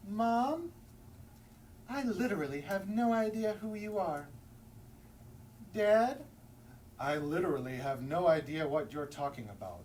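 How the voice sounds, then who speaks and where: A man's voice: sits high, very animated, unhurried, long pauses, a little mellow. A man voicing a grumpy, whiny penguin who complains peevishly in a children's picture-book read-aloud. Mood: exasperated. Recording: distant mic, faint background noise.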